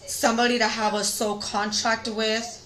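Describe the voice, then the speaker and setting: Raspy-voiced woman reading tarot. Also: on the high side, little pitch movement, moderate pace, fairly bright tone, a little hiss or hum, poor audio. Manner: chatty, talks straight to viewers. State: tired voice from singing. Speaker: tarot reader. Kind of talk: casual spiritual reading.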